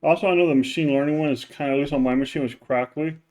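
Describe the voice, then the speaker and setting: A man's voice: fairly even intonation, racing, a little mellow. A man teaching as a professor in a loose, informal recorded lecture, with many fillers and restarts, his voice run through a neural normalizing filter. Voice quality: says his voice is very deep. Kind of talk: casual recorded class lecture.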